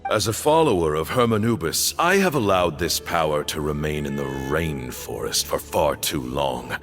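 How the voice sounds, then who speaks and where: A deep-voiced zealous temple elder. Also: male voice, expressive, a bit bright, soft background music, heavily acted. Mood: grave. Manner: grave, measured declamation. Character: solemn male cult elder, proud and zealous. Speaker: temple leader. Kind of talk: video game voice acting.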